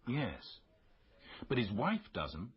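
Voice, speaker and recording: An actor playing an apologetic, harried British hospital doctor in a radio drama, with an understated, conciliatory manner. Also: male voice, medium pitch, wildly swooping pitch, takes it slowly, husky, highs cut off, exaggerated voice. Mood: exasperated. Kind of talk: naturalistic radio drama acting.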